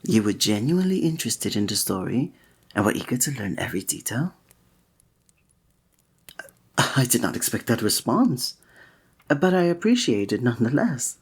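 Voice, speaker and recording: Guy doing a gentle flirty innkeeper for audio roleplay, breathy, really expressive pitch, talks all formal and olde worlde. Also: moderate pace, pauses often. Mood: warm, curious. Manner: formal old-fashioned diction, gentle and attentive. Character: kindly, flirty male village innkeeper, courteous and tender. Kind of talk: scripted roleplay audio drama, one-sided conversation with the listener.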